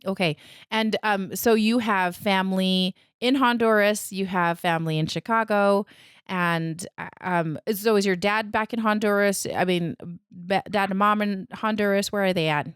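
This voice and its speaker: A woman's voice: medium pitch, moderate pace, clean tone, no echo, studio quality. A woman hosting a podcast interview, chatty and encouraging, with fillers and restarts. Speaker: podcast host.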